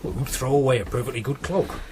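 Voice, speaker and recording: A man's voice: medium pitch, fast, darkish tone, a little hiss or hum, natural voice. An actor playing a plain-spoken, pious medieval countryman with a British accent, earnest and questioning, in a radio drama. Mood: puzzled.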